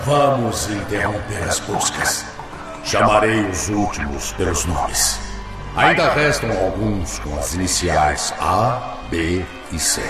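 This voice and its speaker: Brazilian grave booming otherworldly leader in a radio play, slow, super echoey, loud music. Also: male voice, very animated, full character voice. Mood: firm, commanding. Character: commanding male rescue leader with a grave, dominant, otherworldly voice. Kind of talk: radio drama acting. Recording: echoing voice under very loud music.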